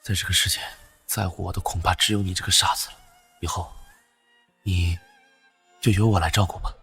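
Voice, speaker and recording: An actor playing a young beggar in a costume drama, husky, exasperated yet protective. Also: male voice, sits low, flat delivery, fairly quick, sharp tone, soft background music, full character voice. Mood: tender. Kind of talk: dubbed animated costume drama.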